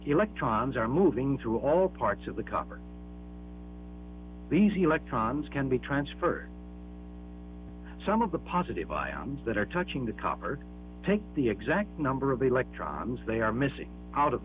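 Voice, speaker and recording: A man's voice: medium pitch, big pitch swings, faint music behind. Man narrating a dull-sounding 1960s classroom film. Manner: measured, explanatory, emphatic, with rhetorical questions.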